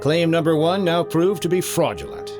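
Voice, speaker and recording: An American man playing a brisk, wry insurance investigator in a 1950s-style detective radio drama, with a clipped, dry delivery. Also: a little high, lively intonation, over music, natural voice. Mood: dry, matter-of-fact. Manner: clipped, dry, hard-boiled. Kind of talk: 1950s detective radio drama acting, first-person case narration.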